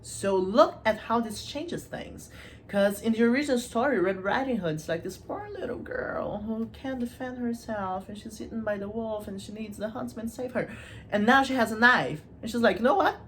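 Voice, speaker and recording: A woman's voice: fairly high pitch, normal speed, fairly bright tone, a little hiss or hum. A woman hosting a casual, chatty literature analysis, informal and playful with asides to the viewer. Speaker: host of a literature video series.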